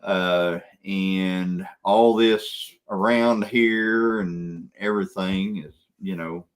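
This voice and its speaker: Slow-talking, laid-back man host. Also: low-pitched, steady tone. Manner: laid-back, answers viewer questions. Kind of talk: casual chat.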